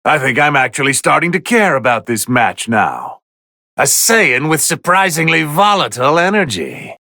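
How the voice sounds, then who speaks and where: A man playing a haughty, bored god of destruction who commentates on video game fights in a condescending, sardonic, theatrical drawl with a gravelly edge. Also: fairly high pitch, sing-song, moderate pace, bright, forceful tone, no echo, studio quality, exaggerated voice. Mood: curious, assessing. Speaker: match commentator.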